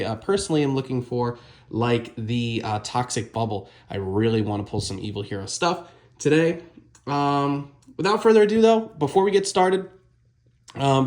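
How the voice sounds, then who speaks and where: A man's voice: medium pitch, a little mellow. A man with a cold, sounding a bit nasal, chatting casually while he opens trading card packs for his channel. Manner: chatty, many ums and uhs. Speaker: card collector opening booster packs. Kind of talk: casual unboxing vlog.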